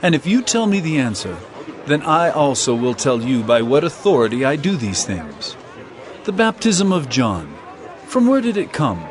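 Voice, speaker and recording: An actor playing an authoritative, earnest male religious teacher in an audio drama, speaking in emphatic, measured phrases. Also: mid-range voice, sing-song, a faint crowd, lightly acted.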